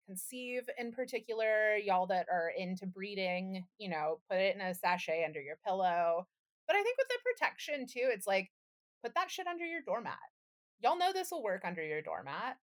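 A woman co-hosting a podcast in casual, slangy chat, irreverent and freely swearing. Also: mid-range voice. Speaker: podcast co-host.

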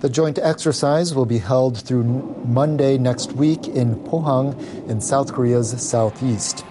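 A man's voice: mid-range voice, measured pace, heavy background noise. A man reading scripted news as a newsreader. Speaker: news reader.

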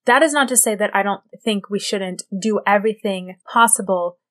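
A woman with a soft voice speaking alone to the camera as a commentator. She talks in a measured, reflective way and lays out her argument step by step. Her pitch sits in the middle range for a woman. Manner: measured, reflective opinion delivery. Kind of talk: solo opinion commentary.

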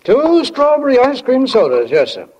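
A crackly 1940 radio recording carries a chatty, folksy older male storekeeper. His voice sits high and swings widely as he grumbles about modern life in a small town. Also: huge pitch swings, normal speed, muffled recording, heavily acted. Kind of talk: 1940s radio drama acting.

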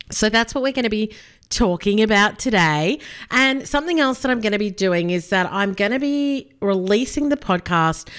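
A woman's voice: medium pitch, fairly bright tone, smooth. A woman with an Australian accent hosting her podcast in a chatty, upbeat way, addressing the listener directly, her voice a little nasal from illness. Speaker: podcast host. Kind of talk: casual solo podcast talk.

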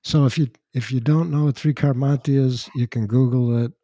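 An older man with a slightly raspy voice, chatting casually as a podcast host and telling rambling anecdotes with dry asides. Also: mid-range voice, steady tone, normal speed, dark tone, no echo. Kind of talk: casual chat, storytelling.

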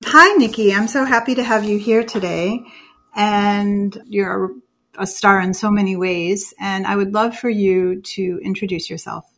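A woman's voice: medium pitch, fairly even intonation, measured pace, fairly bright tone. A woman hosting a podcast, reading an intro and interviewing a guest in an encouraging way. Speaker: podcast host. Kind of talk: scripted intro and casual interviewing.

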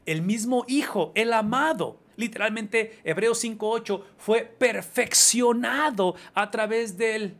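A man's voice: sits high, slow pace, few pauses, a bit bright. Emphatic Spanish-speaking preacher man. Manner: emphatic, repeats phrases, asks the congregation "¿verdad?". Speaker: pastor. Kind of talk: sermon.

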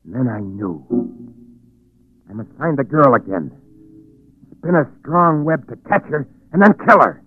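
A man's voice: mid-range voice, sing-song, unhurried, long pauses, slightly rough, faint music behind. An actor playing a crazed, vengeful man who spins webs like a spider, brooding and melodramatic in 1940s radio style. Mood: vengeful, fevered. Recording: narrow, tinny 1940s radio recording.

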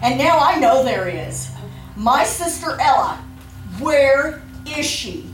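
Anxious but resolute young woman for live radio play, female actor: high, expressive voice, slightly off-mic over faint music. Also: roomy sound, distant mic, exaggerated voice, poor audio. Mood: accusing, distressed. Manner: deliberate, earnest, pointed questioning. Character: determined, anxious young woman seeking her missing sister. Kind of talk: old-time radio acting before a live audience.

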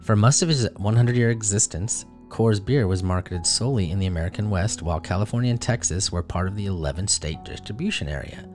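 Low-voiced man reading on a podcast. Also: a little mellow, faint music behind. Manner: laid-back, reads facts in a measured way. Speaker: podcast co-host and producer. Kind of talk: casual chat, reading a story segment.

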